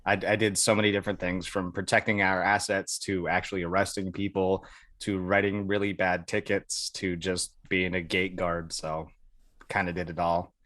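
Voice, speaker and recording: Low-pitched American man telling casual stories. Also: flat delivery, normal speed, a bit bright, no echo, studio quality. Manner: colloquial, amused, chuckles through stories. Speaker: podcast guest, Air Force veteran. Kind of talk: casual anecdotal storytelling.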